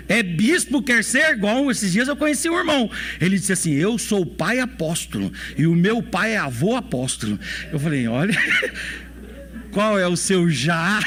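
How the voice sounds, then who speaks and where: Animated Brazilian pastor preaching. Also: male voice, sits high. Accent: Brazilian Portuguese. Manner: preaching with rhetorical questions and repetition. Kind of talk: sermon. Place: church.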